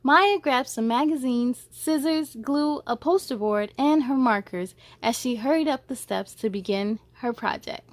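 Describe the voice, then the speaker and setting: A woman's voice: high voice, expressive, unhurried, hardly any pauses, dry recording. A woman reading a children's picture book aloud expressively, adding short asides to listeners as a storyteller.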